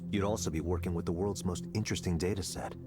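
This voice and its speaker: A man playing a confident, coldly intellectual tech executive in a visual novel, lecturing in a deliberate, persuasive way. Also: sits low, steady tone, over loud music, lightly acted. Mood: persuasive, assured.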